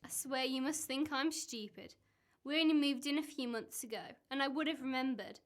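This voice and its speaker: A woman's voice: sits high, normal speed, natural voice. A woman in an amateur radio play acting a suspicious, exasperated wife, accusing and sarcastic. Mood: sceptical, accusing.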